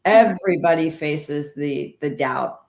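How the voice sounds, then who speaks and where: A middle-aged woman, a former jockey, telling lively stories as an interview guest and acting out the dialogue. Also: low-pitched, unhurried, gravelly, reverberant. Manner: animated anecdotes with quoted dialogue. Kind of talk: storytelling interview.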